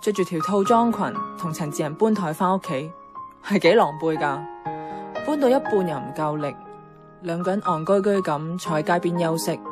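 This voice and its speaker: A woman's voice: on the low side, expressive, a little fast, music in the background, lightly acted. An actress playing a weary, strong-willed office woman in a Hong Kong Cantonese radio drama, mixing in English words. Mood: content. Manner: code-switches into English words. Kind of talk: radio drama dialogue and inner-monologue narration.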